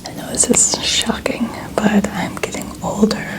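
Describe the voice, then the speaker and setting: A woman in her twenties speaking in a soft, breathy near-whisper, chatting casually and gently as an ASMR host. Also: measured pace. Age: 20s. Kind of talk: soft-spoken ASMR, casual personal chat.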